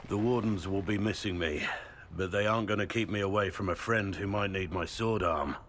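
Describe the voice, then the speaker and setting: An actor playing a friendly, teasing warrior knight in a video game. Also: male voice, low voice, fairly quick, heavy background noise, lightly acted. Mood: easy. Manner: friendly, joking storyteller. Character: gruff, warm male warrior knight.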